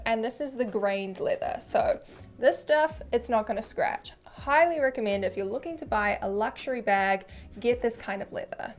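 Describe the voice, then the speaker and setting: Chatty New Zealand woman, bright pitch. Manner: chatty, candid, self-deprecating. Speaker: vlogger reviewing a handbag. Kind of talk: casual to-camera review.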